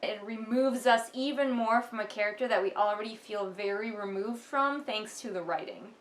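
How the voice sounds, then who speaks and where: A woman's voice: medium pitch, moderate pace, roomy sound. A woman giving casual, mocking commentary as she reads along with a book, sarcastic and exasperated. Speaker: host of a book read-along.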